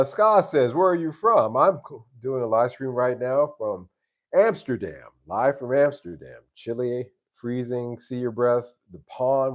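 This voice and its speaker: A man with a very low voice hosting a casual live stream, answering viewer questions in an easygoing, rambling way with many restarts. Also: a little mellow. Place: live stream from home base abroad.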